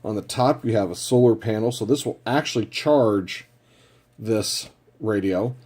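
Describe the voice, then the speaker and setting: A man narrates a casual product review. His voice sits a little low and has a dark, relaxed tone. He explains things in a plain-spoken, matter-of-fact manner. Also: measured pace, husky.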